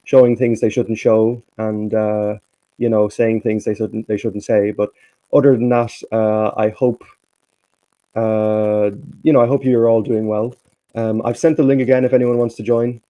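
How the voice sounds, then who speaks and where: A man hosting a casual live stream, slightly hoarse and halting, with many restarts. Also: fairly low pitch, flat delivery, dark tone, muffled recording. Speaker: stream host.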